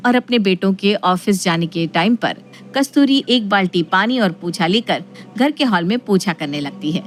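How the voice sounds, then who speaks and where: A woman's voice: mid-range voice, expressive, normal speed, faint music behind. A woman narrating a scripted family story.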